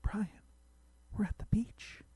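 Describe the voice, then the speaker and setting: A man's voice: slow, dark tone, dry recording, lightly acted. A man voicing an easily outraged wife who bickers with her husband, exclaiming in disbelief and sometimes hissing in whispers. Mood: hushed, hissing.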